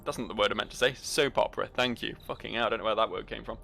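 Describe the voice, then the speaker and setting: A man's voice: on the low side, steady tone, very fast, creaky, sharp tone. A man giving casual let's-play commentary and reading game journals aloud in a dry, joking, profane way. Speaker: video game player and host.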